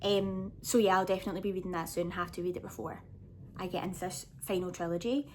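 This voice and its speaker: A woman's voice: on the low side, steady tone, fast, a little mellow, slight background noise. Enthusiastic woman chatting casually. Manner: enthusiastic, gushing. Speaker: book vlogger. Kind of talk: casual chatty book review to camera.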